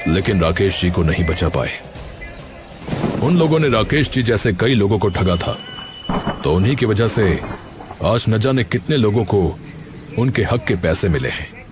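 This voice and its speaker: A man playing a sober, sympathetic police inspector in an animated story. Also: on the low side, background noise. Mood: somber, regretful.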